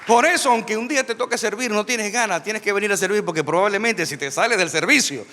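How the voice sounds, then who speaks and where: A middle-aged man preaching a lively sermon to a church, emphatic and repetitive, with touches of humor. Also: high-pitched, quick pace, big-room sound. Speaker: pastor.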